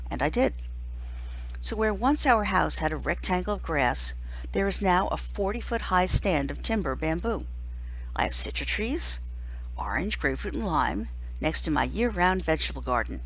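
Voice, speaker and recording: A woman reading a novel aloud like a teacher to listeners, in an expressive storytelling narration. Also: lowish voice, slightly rough, rough recording.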